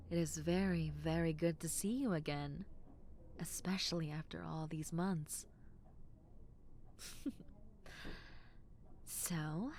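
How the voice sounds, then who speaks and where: A young adult woman voicing a kind, caring dentist in an audio roleplay, speaking softly and reassuringly, often dropping into a whisper, with a playful, teasing edge. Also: low voice, slight background noise. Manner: soft, gentle and reassuring, slipping into whispers; playful, with frequent soft giggles. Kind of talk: ASMR roleplay.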